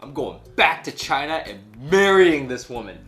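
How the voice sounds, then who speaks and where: A man's voice: on the high side, normal speed, soft background music. A man, co-hosting a casual chat, retelling a story in slangy speech and acting out quotes. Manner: animated, slangy, acts out imagined quotes. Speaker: co-host of a news chat show. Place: small furnished room indoors, on a couch.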